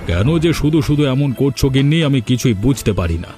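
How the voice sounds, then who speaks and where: A man's voice: medium pitch, expressive, fast talker, warm tone, faint music behind, close to an everyday voice. A man voicing a grumpy, scheming old village husband in a cartoon, irritable and argumentative as he grumbles. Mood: defiant, grumbling. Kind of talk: cartoon voice acting.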